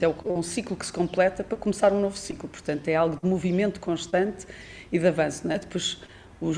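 A man's voice: on the high side, vocal fry, darkish tone, slight background noise. A man speaking as a radio interview guest, reflective, stacking ideas in long lists.

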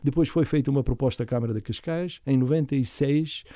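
A middle-aged man from Bulgaria speaking with a Bulgarian accent, recounting his story in an interview in a halting way, with restarts. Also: mid-range voice, dark tone, no room sound, clean studio sound. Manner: halting, with frequent restarts and fillers. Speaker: interview guest, violinist and orchestra founder.

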